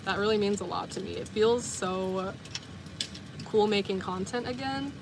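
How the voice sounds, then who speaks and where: A woman's voice: medium pitch, moderate pace. Chatty young woman with background noise. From US South. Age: 20s. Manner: chatty, rambling, full of "like" and self-corrections. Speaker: vlogger and livestreamer. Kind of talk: casual chat with viewers.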